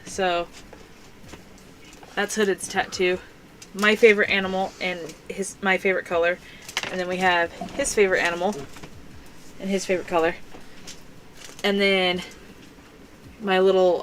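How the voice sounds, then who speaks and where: A woman's voice: mid-range voice, fairly bright tone, a little hiss or hum, low-quality recording. A young woman hosting a crafting stream, chatting casually and rambling with lots of fillers. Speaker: crafting channel host. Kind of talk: casual chat while crafting.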